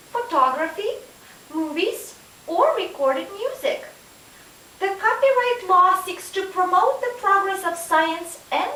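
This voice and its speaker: A woman puts on an eager young student puppet with an extremely high, swooping voice. The lines come out slowly and earnestly, like a recited classroom script. It is picked up from a distance in a roomy, hissy space. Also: bright, forceful tone, exaggerated voice. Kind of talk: scripted puppet show dialogue.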